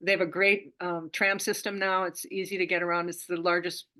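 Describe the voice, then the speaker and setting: A woman's voice: lowish voice, flat delivery, fairly quick, pushed and bright. An older woman speaking on a video call from home as a guest presenter, chatty and rambling, often restarting her sentences.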